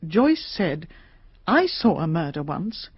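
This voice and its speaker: An actress playing a shaken middle-aged British mystery novelist in a radio drama, talkative, hesitant and confiding. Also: female voice, fairly low pitch, sing-song, takes it slowly, slightly rough, no room sound, heavily acted. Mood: earnest, confiding. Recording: slightly dull, dry radio recording.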